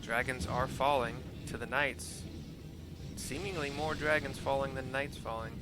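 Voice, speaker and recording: Male streamer, mid pitch, casual piecemeal play-by-play of game cutscenes, prominent game music underneath. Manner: halting, piecemeal narration. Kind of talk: casual live audio description of game cutscenes. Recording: loud game music behind the voice. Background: game music and sound.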